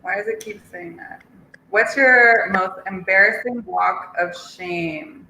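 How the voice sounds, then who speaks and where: A woman's voice: medium pitch, slow pace, gravelly, sharp tone. A woman hosting a casual live chat, reading questions aloud and reacting to her guest. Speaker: host. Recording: echoey room with faint noise.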